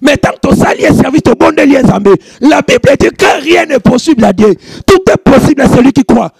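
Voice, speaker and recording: A man's voice: very high-pitched, animated, fairly quick, gravelly, darkish tone, soft background music. A man in his thirties, a prophet hosting a studio show, preaching and calling out over a handheld microphone. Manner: chanting exclamations, mixing French and Lingala. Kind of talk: preaching and reading out prayer messages. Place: studio with warm lamp backdrop.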